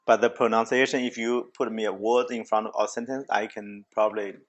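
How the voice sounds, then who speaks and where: Halting man chatting casually. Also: lowish voice, level tone. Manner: halting, restarts often, frequent "yeah, yeah". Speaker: podcast host. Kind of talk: casual conversation.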